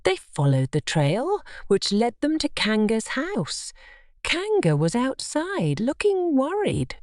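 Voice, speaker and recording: A woman's voice: fairly high pitch, huge pitch swings, slow pace, no room sound, studio quality. A woman narrating scripted bedtime stories for children as a storyteller.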